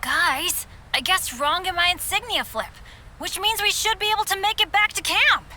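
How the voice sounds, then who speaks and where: A woman's voice: very high-pitched, normal speed, noisy background. A woman voicing an unlucky teenage boy adventurer, earnest and boyish, in an anime video game. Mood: upbeat, earnest.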